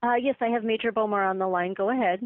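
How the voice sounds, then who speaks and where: The voice is a woman's, at an ordinary pitch, coming through a narrow, dry phone connection. She sounds like an office receptionist, courteous and deferential, careful to apologize and offer help. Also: fast, no echo. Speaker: receptionist or office assistant taking calls. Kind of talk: polite business phone call. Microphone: telephone. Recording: tinny band-limited phone line.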